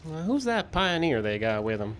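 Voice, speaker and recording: A man's voice: on the high side, very animated, normal speed, a bit bright. A man playing a plain-spoken, inquisitive deputy sidekick in a live radio western, folksy and deferential. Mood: curious. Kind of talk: live old-time radio western acting. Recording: faint live outdoor stage noise.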